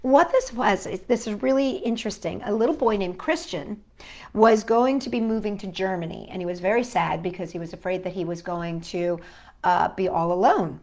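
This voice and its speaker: A woman hosting a children's storytime, reading a picture book aloud and chatting gently with young viewers, asking them questions. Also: medium pitch, moderate pace.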